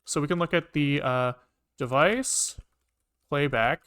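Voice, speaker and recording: Higher-pitched man thinking aloud while coding. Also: fairly bright tone, clean tone. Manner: halting, muttering to himself while working. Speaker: programming livestreamer. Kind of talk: casual live coding commentary, thinking aloud.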